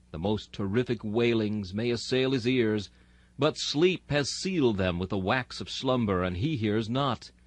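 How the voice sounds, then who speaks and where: A man reading a sermon aloud in a solemn, earnest, deliberate oratorical manner. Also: medium pitch, dry recording. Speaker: reader of a historical sermon.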